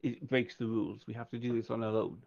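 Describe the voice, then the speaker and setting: A man's voice: mid-range voice, level tone, fast, creaky, a little mellow, dull audio. A man playing a tabletop roleplaying game, thinking aloud and asking questions in casual discussion.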